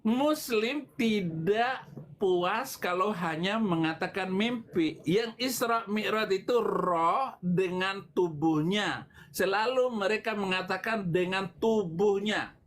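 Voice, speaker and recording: A man giving an extemporaneous religious polemic talk as a preacher on a livestream, explaining in a halting way. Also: sits high, fairly bright tone. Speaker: preacher answering questions on a livestream.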